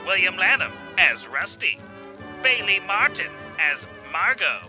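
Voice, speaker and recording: A man reading the closing narration and credits in a measured announcer delivery. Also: high-pitched, big pitch swings, sharp tone, soft background music, low-quality recording.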